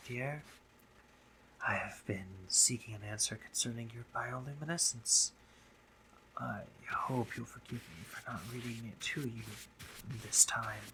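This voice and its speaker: A man playing a doting, teasing gentlemanly spider-monster painter in a romantic roleplay audio drama, with genteel phrasing, hesitant pauses and drops into whispers. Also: mid-range voice, a little hiss or hum, poor audio. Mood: hesitant, coy.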